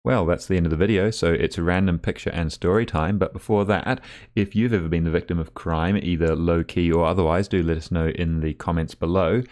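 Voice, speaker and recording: Low-voiced man wrapping up a video. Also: steady tone, fairly quick, a little mellow. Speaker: channel host. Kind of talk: casual video outro.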